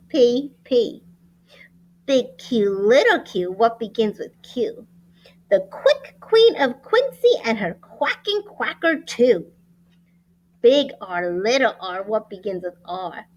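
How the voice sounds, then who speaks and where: A woman teacher reading a picture book aloud to young children in an expressive, sing-song way with deliberate enunciation. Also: fairly high pitch, highs cut off. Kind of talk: animated children's read-aloud.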